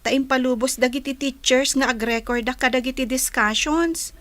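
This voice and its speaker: Woman playing a high-voiced young female student. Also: steady tone, moderate pace, a bit bright, no echo. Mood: helpful. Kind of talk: radio drama dialogue.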